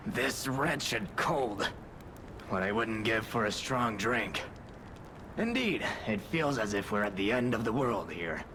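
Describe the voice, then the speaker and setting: An actor playing a weary, wry merchant and cynical survivor in a video game, speaking in a slow, sighing manner. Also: male voice, fairly low pitch, animated, background noise. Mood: weary, grim. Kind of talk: video game character acting.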